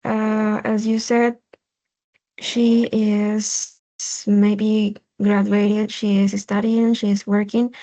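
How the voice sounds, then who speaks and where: A woman, a student answering in English on a video call, halting and searching for words. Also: medium pitch, level tone, mellow, rough recording.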